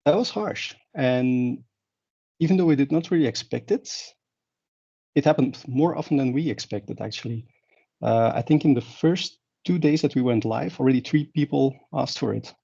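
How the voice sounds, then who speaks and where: A man's voice: mid-range voice, normal speed, gravelly, warm tone, husky. A man from Belgium, giving a conference talk in a rambling way with many fillers and restarts. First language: Dutch. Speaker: conference speaker. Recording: dull lo-fi sound.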